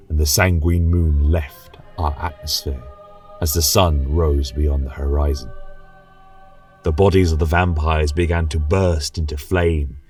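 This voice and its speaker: Audio fantasy narrator: man as male magic lecturer, very low, slow, solemn and dramatic. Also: darkish tone, recorded from a distance. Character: male college lecturer of magic recounting dark history. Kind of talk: dramatic scripted storytelling.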